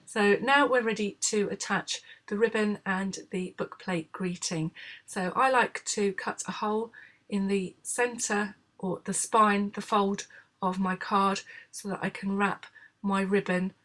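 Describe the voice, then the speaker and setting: Hoarse woman with a cold. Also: medium pitch, measured pace. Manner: calm, explanatory, frequent "uh" fillers. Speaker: craft tutorial host. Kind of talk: step-by-step tutorial voice-over.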